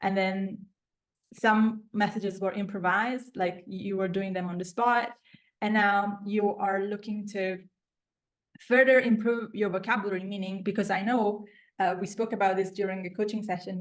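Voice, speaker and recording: A woman coach with an Italian accent talks in a conversational way to a student. Her pitch sits in the middle of the female range, and she is affirming and encouraging as she hosts. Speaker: language coach hosting a student interview.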